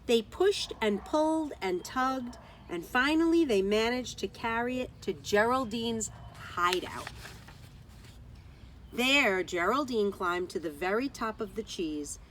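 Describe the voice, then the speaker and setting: Woman, teacher read-aloud, higher pitch, unhurried, expressive, dramatic emphasis, outdoors with light background noise. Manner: deliberate, dramatic emphasis on key words.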